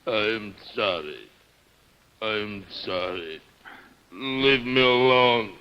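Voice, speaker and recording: An actor playing a big, jealous husband, weary and blunt, in halting broken English in a radio drama. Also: male voice, lowish voice, a little hiss or hum. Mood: weary, sorry. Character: big jealous foreign husband, hot-tempered, weary, simple. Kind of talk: old-time radio drama acting. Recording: muffled old radio recording.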